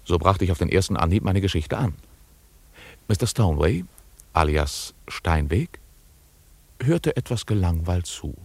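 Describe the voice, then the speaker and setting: An actor playing an investigator who recalls his case in measured, literary first-person radio drama narration. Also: low voice, animated, darkish tone, dry recording, exaggerated voice. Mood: reflective.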